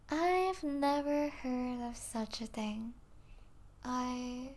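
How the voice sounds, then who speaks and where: A high-voiced vampire princess, played by a woman, lingers very slowly over her words. She is regal and possessive, yet soft and doting, slipping into breathy asides as if in an intimate ASMR roleplay. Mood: wistful, intimate. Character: possessive yet tender vampire princess, mistress of a human pet.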